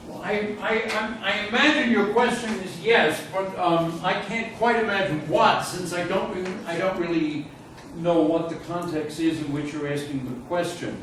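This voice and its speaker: Echoey, halting man lecturing from afar. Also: high voice, steady tone. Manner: halting, thinks aloud, frank about not knowing. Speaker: university physics lecturer. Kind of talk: informal classroom lecture with student questions. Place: lecture room, mic away from speaker. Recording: roomy echo, distant mic, loud room noise.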